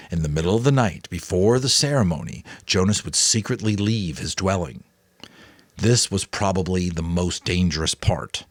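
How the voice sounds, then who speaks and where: A man's voice: low voice, dry recording, clean studio sound. A man narrating a book expressively, breaking off for casual commentary. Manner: casual asides between expressive reading. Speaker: amateur audiobook narrator.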